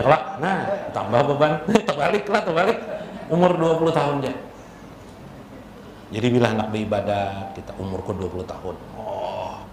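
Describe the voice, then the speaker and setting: A man with a Banjar accent giving an informal, jocular Islamic sermon, conversational and full of rhetorical questions. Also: mid-range voice. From South Kalimantan, Indonesia. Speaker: Islamic preacher. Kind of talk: informal sermon with jokes, mixing local speech and Arabic phrases. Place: indoor religious gathering. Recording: echoey room with faint background noise.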